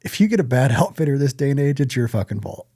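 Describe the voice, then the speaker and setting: A man's voice: mid-range voice, sing-song, quick pace, warm tone, no room sound, clean studio sound. A man co-hosting a podcast, talking casually in a blunt, profane way. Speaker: podcast co-host. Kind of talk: casual podcast chat about hunting and events.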